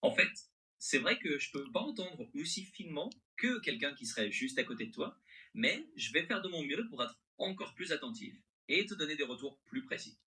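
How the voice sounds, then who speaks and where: A man's voice as a conversational AI assistant, very bright and crisp-edged, with lively, wide-moving pitch. The French accent is mixed, with an American-sounding intonation. The manner is agreeable and accommodating. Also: normal speed.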